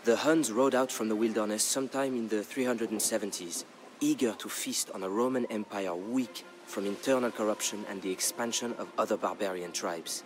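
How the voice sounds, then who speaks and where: A man narrating a historical tale as a dramatic scripted story, in a measured, grave storyteller's delivery. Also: fairly low pitch, background noise. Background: background music.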